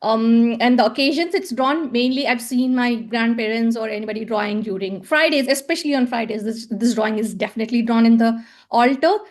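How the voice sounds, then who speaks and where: A woman's voice: on the high side, a little fast. A woman from South India, giving an academic presentation with slides, hesitant and full of fillers and repeated words. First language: Tamil. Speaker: conference presenter.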